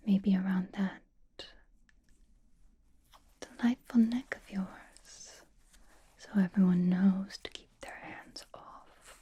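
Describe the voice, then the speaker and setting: A woman playing a seductive, possessive female vampire in an ASMR roleplay, teasing and doting in a soft, breathy whisper. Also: medium pitch. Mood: fond, scolding. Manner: teasing, doting, slow and deliberate.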